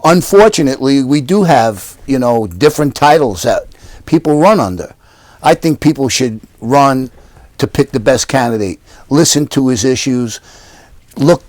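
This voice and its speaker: A man's voice: medium pitch, a little mellow, no room sound. An older man from Yonkers, a council candidate, answering interview questions at home in an earnest, repetitive campaign manner. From Yonkers, New York. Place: living room at home.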